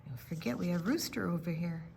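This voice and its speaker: A woman reading a picture book aloud to young children in a deliberate, storytelling way, with asides to her listeners. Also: fairly low pitch, creaky, noisy background. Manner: slow, deliberate phrasing with questions and asides to the listeners. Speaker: library storytime reader. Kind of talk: expressive read-aloud narration for young children.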